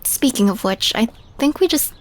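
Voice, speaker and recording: A woman playing a guarded but caring alien woman in an audio roleplay drama, speaking in a halting, tentative way. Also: fairly high pitch, lively intonation, slight background noise, close to an everyday voice. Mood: thoughtful.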